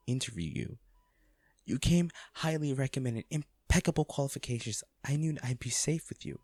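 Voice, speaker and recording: A man acting an anguished wealthy businessman in an intimate audio drama, speaking haltingly and emotionally to a comatose lover, with a gravelly voice. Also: medium pitch, a little mellow, no room sound. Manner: halting, emotional, with sighs and repeated words.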